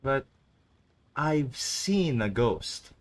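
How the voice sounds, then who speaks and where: A woman's voice: very deep voice, big pitch swings, very slow. A woman game streamer reacting casually and reading aloud as she plays. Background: faint game music.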